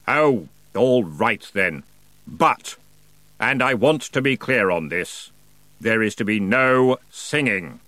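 A man's voice: on the low side, animated, slow pace, bright, forceful tone, dry recording, full character voice. A man voicing a grumpy male ferryman of the dead, curt and fussy. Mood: grudging.

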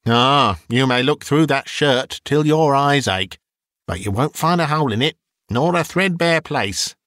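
A man voicing a coarse, greedy old charwoman who mocks the dead in brash, quick bursts. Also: medium pitch, fairly bright tone, no room sound, studio quality, voice pushed up, natural voice. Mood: scornful.

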